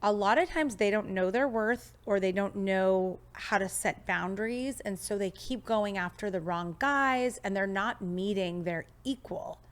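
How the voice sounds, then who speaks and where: A woman's voice: medium pitch, unhurried, few pauses. A woman in her thirties hosting a podcast in a studio, bubbly and enthusiastic as she chats with her guest. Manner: bubbly, enthusiastic, scripted intro then chatty. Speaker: podcast host. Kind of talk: upbeat podcast interview.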